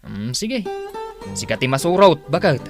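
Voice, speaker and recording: A man's voice: a little high, very animated, a little slow, over music. A man playing an easygoing, indulgent husband in an Ilocano radio drama, speaking casually and expressively. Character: easygoing, indulgent husband, curious and mildly surprised.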